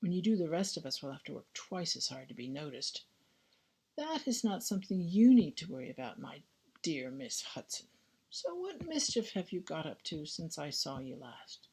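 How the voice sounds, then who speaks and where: A woman's voice: low-pitched, sing-song, moderate pace, vocal fry, darkish tone, slightly rough, exaggerated voice. A woman voicing a playful, effusive Victorian society lady in audiobook dialogue, with an arch, teasing manner.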